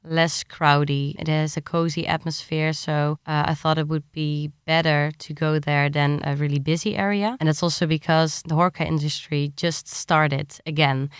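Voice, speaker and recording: Female interviewee, clear crisp voice, explanatory and conversational, very dry sound. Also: sits low, steady tone, normal speed, hardly any pauses, dry recording. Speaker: science journalist, interviewee.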